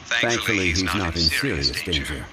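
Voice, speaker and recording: An actor playing a calm, dignified ancient scholar in a game, speaking in a measured, formal way. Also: male voice, low voice, heavy background noise. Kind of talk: game character dialogue.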